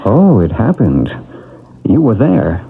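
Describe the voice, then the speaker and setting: A composed expert guiding a patient into a past life in an old radio mystery, his speech measured and soothing. His voice is husky and sits at a middle pitch, heard through a thin, phone-like band. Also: wildly swooping pitch, full character voice. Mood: reassuring. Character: calm male past-life regression expert. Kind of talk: 1970s radio drama acting. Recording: tinny old radio broadcast.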